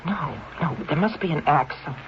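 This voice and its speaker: An actress playing a scheming, demanding wife with a sharp tongue and an American accent in a 1970s radio drama. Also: female voice, low-pitched, brisk, over loud music, close to an everyday voice. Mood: angry, threatening. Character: scheming, imperious wife, quick to rage and threats. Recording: muffled, noisy 1970s radio recording.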